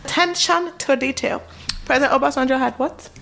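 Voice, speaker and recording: A woman's voice: high voice, fairly quick, gravelly, a bit bright. A woman with a Nigerian accent hosting a quiz in casual, teasing storytelling, with dramatic emphasis. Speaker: trivia quiz host.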